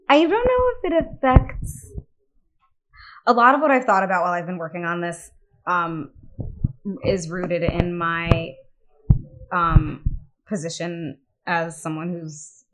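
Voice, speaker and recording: A woman's voice: on the low side, fairly bright tone, poor audio. A woman author answering on a panel, hesitant and full of fillers.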